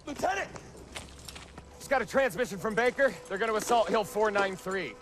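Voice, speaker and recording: An actor playing an anxious young army radio operator in a war game, reporting with urgency. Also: male voice, high voice, animated, moderate pace, a bit bright. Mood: urgent. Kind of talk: cinematic war game acting.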